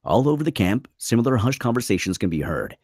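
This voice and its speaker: A man's voice: medium pitch, big pitch swings, quick pace, a little mellow, no room sound, clean studio sound. A man reading screenplay stage directions as the narrator of a table read.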